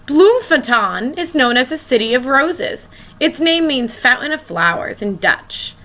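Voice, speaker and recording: Bright, animated woman reading to children. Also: high-pitched, measured pace. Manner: expressive, asks questions to young listeners. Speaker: elementary school teacher reading aloud. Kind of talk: children's picture book read-aloud.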